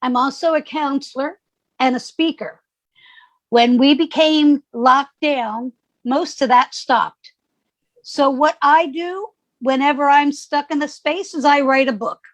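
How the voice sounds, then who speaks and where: A woman, a grief counselor speaking calmly and measuredly as an interview guest. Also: high-pitched, unhurried, no echo, rough recording.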